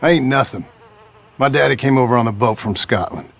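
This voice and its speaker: An actor playing a scheming, ingratiating American gang leader with a grandiose way of talking in a Western game cutscene. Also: male voice, medium pitch, brisk, vocal fry, a bit bright. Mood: smooth, persuasive. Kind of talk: video game cutscene acting.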